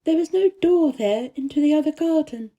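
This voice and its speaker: A woman plays a little girl from a storybook, her voice pitched high and lifting expressively. She is prim and direct, curious yet a little cold and lonely. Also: lively intonation, moderate pace, mellow, dull audio. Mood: insistent. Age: child. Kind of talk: storybook character reading.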